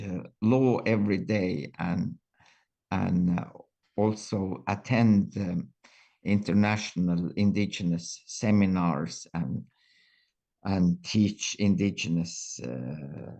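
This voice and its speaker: Slow gravelly older man, halting. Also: deep voice, dark tone. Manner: halting, many fillers and restarts. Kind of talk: reflective interview answers.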